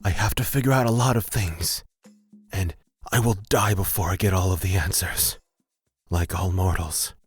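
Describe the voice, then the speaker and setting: A man's voice: deep voice, normal speed, breathy edge, faint music behind. A man playing a humble, laid-back elf wanderer in a fantasy audio drama, reflective and probing. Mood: philosophical.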